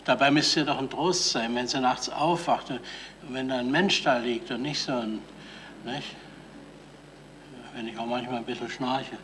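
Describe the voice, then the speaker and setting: A man's voice: mid-range voice, fairly even intonation, measured pace, fairly bright tone, close to an everyday voice. An actor playing a sheepish, earnest, self-justifying man in a radio crime drama, with a Bavarian accent. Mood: sheepish, pleading. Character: sheepish, pleading suitor jealous of a dog. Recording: slightly hissy 1970s radio recording.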